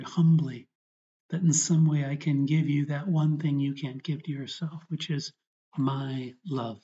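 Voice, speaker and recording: A man speaking as a priest leading a guided prayer meditation, reverent and earnest, in short phrases with pauses. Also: on the high side, warm tone, dull audio. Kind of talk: guided prayer, spoken meditation and gospel reading.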